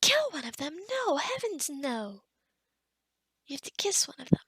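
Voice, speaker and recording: High-voiced, flustered little forest witch, acted by a woman. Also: measured pace, vocal fry, fairly bright tone, dry recording, studio quality, full character voice. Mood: gentle, explaining. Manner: scatterbrained, hesitant, stammering, often dropping to a whisper. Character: kind, flustered, small forest witch, chatty helper. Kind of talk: ASMR roleplay addressed to the listener.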